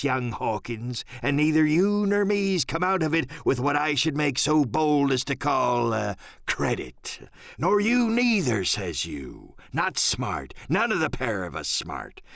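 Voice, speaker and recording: Cunning old pirate, wildly swooping voice. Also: male voice, mid-range voice, creaky, a bit bright, no room sound, clean studio sound, exaggerated voice. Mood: earnest, wry. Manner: folksy, salty seafaring slang, exclamatory. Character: cunning hearty old pirate sea cook, male. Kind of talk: audiobook radio-play character acting.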